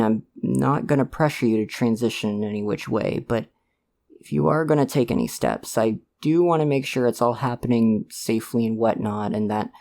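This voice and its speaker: A man playing a supportive, gently protective dad in an ASMR roleplay, speaking in a hesitant, earnest, reassuring way to the listener. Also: mid-range voice, gravelly, lightly acted. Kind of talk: ASMR roleplay, one-sided conversation with listener.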